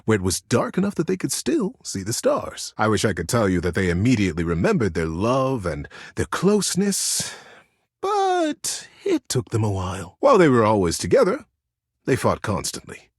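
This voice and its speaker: An actor voicing a gentle, earnest storyteller character from a game, narrating a bedtime tale with dramatic pauses. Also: male voice, lowish voice, huge pitch swings, breathy edge, no echo, clean studio sound, heavily acted. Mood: earnest, suspenseful. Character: gentle male teddy bear storyteller, tender and earnest.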